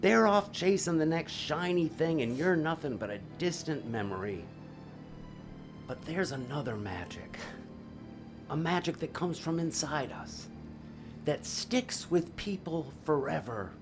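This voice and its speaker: A man playing an earnest, remorseful father and stage magician in an audio drama, speaking in a halting way. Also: a little high, animated, over music. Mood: tender, earnest.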